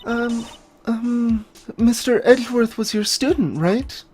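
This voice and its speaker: A woman voicing an earnest, expressive young female legal assistant in a video game, easily startled. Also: a little high, takes it slowly, husky. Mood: hesitant. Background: faint game music.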